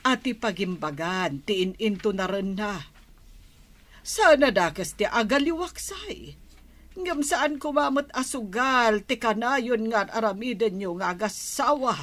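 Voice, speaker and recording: A woman's voice: on the high side, expressive, slow pace, fairly bright tone. An actress playing a nagging, disapproving village mother in an Ilocano radio drama. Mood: scolding.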